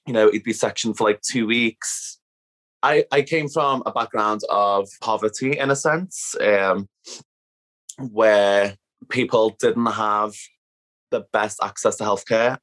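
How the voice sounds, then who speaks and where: A woman's voice: very deep voice, fairly even intonation, a little slow, a bit bright, low-quality recording. A woman from Liverpool with a thick Scouse accent, talking candidly and casually as an interview guest. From Liverpool, England. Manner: candid, peppers speech with "like". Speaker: podcast guest, activist. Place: at home.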